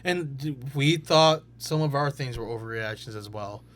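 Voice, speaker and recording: A man's voice: medium pitch, moderate pace, fairly bright tone. A young man co-hosting a sports podcast, arguing his picks in assertive bursts. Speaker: podcast co-host. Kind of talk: casual sports debate.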